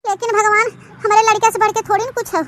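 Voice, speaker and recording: A woman's voice: very high voice, flat delivery, fast talker, a bit bright, slight background noise. A woman voicing an anxious, plaintive village mother-in-law in a comedy cartoon, speaking wordily with an Awadhi accent. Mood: worried.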